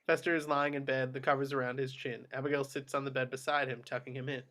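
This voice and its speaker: A man's voice: medium pitch, level tone, fast, bright, forceful tone. A man reading screenplay action descriptions aloud as narrator in scripted narration.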